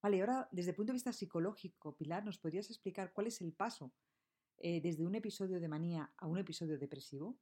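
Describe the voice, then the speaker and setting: A woman's voice: on the low side, fast, dark tone, husky. Woman interviewer asking questions. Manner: asks questions with hesitant rephrasing.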